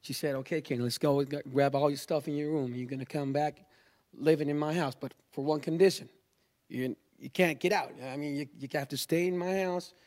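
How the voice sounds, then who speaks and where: A man from Chile, a native Spanish speaker with a Chilean accent, tells a personal religious testimony in a halting, informal way. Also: fairly high pitch, fairly even intonation, a little mellow. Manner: halting, informal, peppers talk with "you know" and "man".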